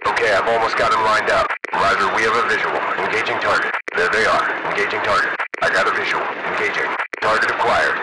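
Game military airman, low pitch, focused, terse, radio filter, loud noise. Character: focused male military gunship crewman hunting targets. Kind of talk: military radio chatter voice acting. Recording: very noisy aircraft radio.

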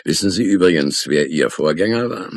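An actor playing a smug, suspicious homicide detective in a German radio crime drama, taunting and insinuating. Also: male voice, low voice, animated, slightly rough, no room sound, full character voice. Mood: smug needling. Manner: taunting, insinuating, drawn-out emphasis.

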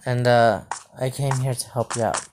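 A low-pitched woman plays through an improvised game roleplay, repeating words and hesitating as she goes. The recording is very noisy, with clicks. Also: fairly even intonation, moderate pace. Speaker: gamer playing a Minecraft roleplay. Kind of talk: improvised roleplay gameplay commentary.

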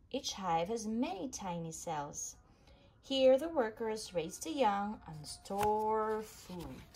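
A woman reading a children's nonfiction book aloud, phrasing it deliberately in short, separate chunks. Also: medium pitch, breathy edge. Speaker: read-aloud reader of children's books.

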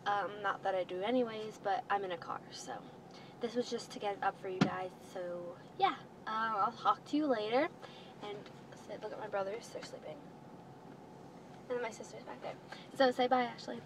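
A woman's voice: mid-range voice, moderate pace. A teenage girl chatting casually to the camera as a vlogger, recorded inside a car. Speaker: vlogger showing a clothing haul. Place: inside a parked minivan. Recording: noisy phone-style recording inside a car.

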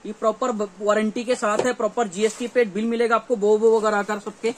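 Male shop dealer, thirties, North Indian accent, high voice, informal repetitive product patter, faint background noise. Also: little pitch movement, brisk. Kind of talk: informal product showcase to camera. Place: small cluttered gear shop, indoors.

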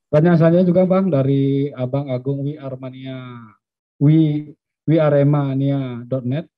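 A man moderating a press conference, briskly reading out reporters' names and their questions. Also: mid-range voice, steady tone. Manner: brisk, announcing names and outlets. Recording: dry, dull lo-fi stream audio.

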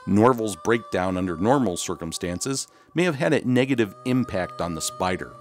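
A man's voice: fairly low pitch, lively intonation, moderate pace, soft background music. A man hosting and narrating a scripted documentary-style video.

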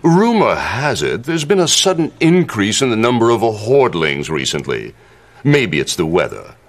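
An actor playing a scheming middle-aged royal advisor in a campy video game cutscene, mock-polite and gloating with a theatrical drawl. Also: male voice, lowish voice, wildly swooping pitch, normal speed, full character voice. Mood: mock-polite menace.

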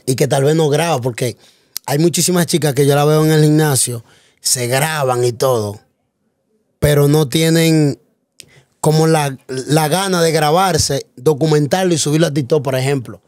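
A man's voice: fairly high pitch, normal speed, a little mellow, no echo, clean studio sound. A man interviewing a guest in a joking, teasing way, full of slang exclamations.

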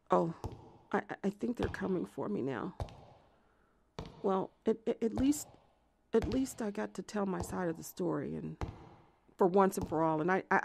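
A woman playing an unhinged jilted lover confessing from jail in a dramatic monologue, rambling and halting, defensive and prone to outbursts. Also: mid-range voice, vocal fry, a little hiss or hum. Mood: subdued, pleading. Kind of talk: dramatic monologue, audio theatre narration.

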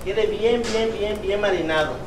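High-voiced coastal Mexican man, roomy sound. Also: unhurried, noisy background. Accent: Guerrero coast Mexican. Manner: repetitive, punctuated with "eh?" tags and rhetorical questions. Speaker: family restaurant cook. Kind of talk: casual cooking demonstration and promotion. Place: open-air beach restaurant and its kitchen. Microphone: camera a few steps away. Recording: roomy, distant camera mic, music underneath.

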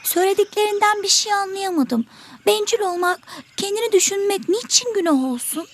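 Very high, vain little cartoon bird. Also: female voice, lively intonation, a little fast. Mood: defiant. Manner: boastful, dismissive, argumentative. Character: selfish, vain, argumentative little songbird. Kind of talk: children's educational cartoon acting.

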